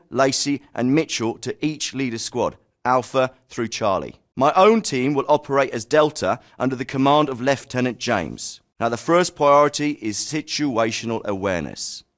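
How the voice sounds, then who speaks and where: An actor voicing a calm, authoritative male special forces commander, giving military briefings in a measured, clipped way. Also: mid-range voice, lightly acted. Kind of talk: video game voice acting. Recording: clean studio voice recording.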